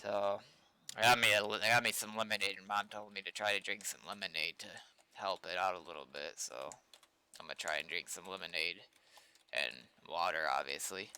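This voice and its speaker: A man's voice: low-pitched, fairly even intonation, fairly quick, bright, forceful tone, slight background noise. A young man rambling casually on a livestream, often trailing off into mumbled asides. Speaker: streamer and game developer.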